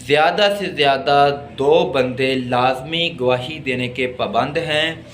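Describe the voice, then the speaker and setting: A man with a Pakistani accent lecturing on law, deliberately reading out text and explaining it in a teacherly way. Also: medium pitch, fairly bright tone, slight background noise. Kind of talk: exam-oriented law lesson, reading English text and explaining it.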